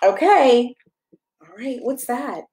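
Woman doing storytime for a little kid, really animated and encouraging, takes it slow, asks lots of questions. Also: high-pitched, echoey room, heavy background noise. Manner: encouraging, expressive, coaxing with questions. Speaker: pastor reading a children's story. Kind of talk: interactive picture-book read-aloud to a toddler. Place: small carpeted room at home, indoors. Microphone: camera a step or two away.

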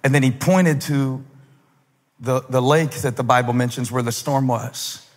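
A man in his forties preaching a sermon on a church stage into a handheld mic, telling a story and then building to loud, fervent emphasis. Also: medium pitch, fairly even intonation, unhurried. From United States. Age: 40s. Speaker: pastor. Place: large stage in a church hall. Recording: long hall reverb.

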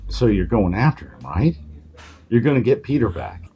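An actor playing an indignant, determined man in an audio drama, husky, confrontational and pointed. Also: lowish voice, big pitch swings, normal speed, dull audio, full character voice. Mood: pressing.

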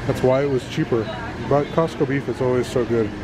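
A middle-aged man talks casually to a handheld camera in a big warehouse store. His voice sits at an ordinary pitch, with very loud ambient noise all around it. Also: fairly quick. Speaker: vlogger. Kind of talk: casual shopping vlog chat. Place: large indoor warehouse store. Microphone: handheld camera microphone.

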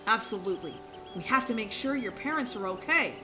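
A woman voicing a caring, reassuring parent with a gentle, expressive manner in a dramatised children's story. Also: medium pitch, fairly quick, natural voice. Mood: supportive. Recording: music behind the voice.

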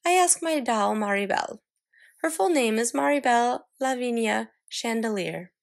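A woman voicing an excitable little girl who adores fancy things, in a dramatic storybook reading. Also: fairly high pitch, a little slow, creaky. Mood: proud, eager. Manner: dramatic, exclaiming, storybook delivery.

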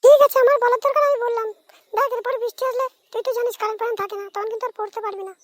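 A woman voicing a diligent, caring schoolgirl big sister in an amateur cartoon, pitched up and earnestly insistent. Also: very fast.

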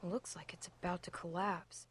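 A woman as earnest, soft-spoken young girl. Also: fairly low pitch, fairly even intonation, normal speed, slight background noise, lightly acted. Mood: subdued. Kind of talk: naturalistic game acting.

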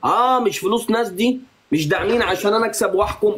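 A man's voice: sits high, fast, crowd noise. A man with an Egyptian Arabic accent hosting a live stream, teasing and bantering with a guest in a playful, mock-stern way.

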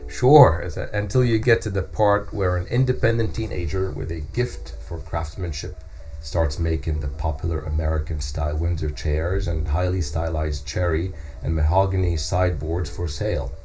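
A middle-aged man playing an earnest hometown journalist in a scripted podcast, telling local history in a measured way with deliberate pauses. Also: low voice, steady tone, mellow, soft background music, lightly acted. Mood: wry, animated. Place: small indoor podcast studio. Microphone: close studio microphone on a stand.

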